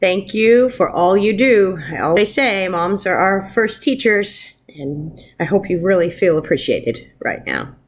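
A woman in her thirties, a first grade teacher, talking informally to young pupils and reading aloud in an encouraging, expressive way. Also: mid-range voice, measured pace, slightly rough. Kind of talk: warm informal message to pupils and picture-book read-aloud. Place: wood-ceilinged room at home, indoors. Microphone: webcam at arm's length.

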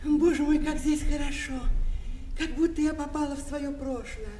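An actress playing a sentimental, effusive elderly former performer, chatty and nostalgic, in a radio play. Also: female voice, high-pitched, fairly even intonation, moderate pace, warm tone, lightly acted. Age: older. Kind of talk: Soviet-era radio play acting. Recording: roomy, distant, hissy old radio recording.

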